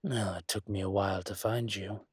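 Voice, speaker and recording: A man performs a male dragon shifter who rules as a mafia boss. His voice is low and husky, and he takes his time, leaving deliberate pauses. He sounds smug and teasing yet protective, recorded close and dry like an intimate audio drama. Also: steady tone, no echo. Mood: reflective, calm. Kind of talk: slow intimate roleplay audio acting.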